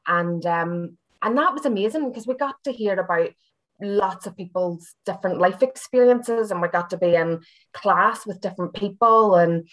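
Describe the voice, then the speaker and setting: A woman's voice: mid-range voice, normal speed, fairly bright tone, poor audio. A woman from Belfast with a Northern Irish accent, talking in a chatty, reflective, slightly hesitant way as an interview guest. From Belfast, Northern Ireland. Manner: chatty, hesitant, circling back with hedges. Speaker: university senior lecturer, interview guest. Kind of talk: casual reflective personal interview.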